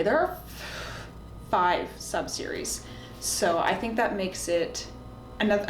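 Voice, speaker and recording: A woman talking casually and informally to camera, giving opinionated recommendations in a chatty way. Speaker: book reviewer, video host. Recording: roomy echo, faint background noise and rumble.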